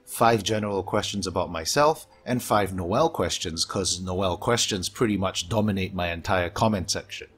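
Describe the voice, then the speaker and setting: A man from Singapore with a Singaporean English accent, reading a scripted gaming Q&A voice-over in a steady, measured way from his home hobby room, his s sounds sharp and a low hum behind him. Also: slightly rough, soft background music. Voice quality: strong sibilance, low hum from aquarium pumps. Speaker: gaming YouTuber.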